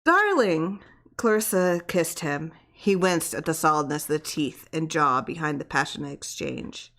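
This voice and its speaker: Low-pitched woman narrating horror. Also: moderate pace, creaky. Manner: expressive, suspenseful. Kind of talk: dramatic scripted horror story narration.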